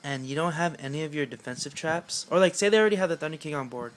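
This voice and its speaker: A young man with a raspy voice, chatting casually at home about a card game deck, rambling with lots of fillers and self-mocking jokes. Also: a little high, lively intonation, fast talker. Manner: rambling, filler-heavy, self-mocking with frequent clearing of the throat. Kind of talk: casual talk to camera.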